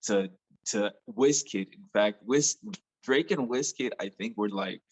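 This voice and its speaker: A man's voice: on the low side, unhurried. A man with a Nigerian accent, a music artist guest talking casually and enthusiastically in an interview, often repeating words as he goes.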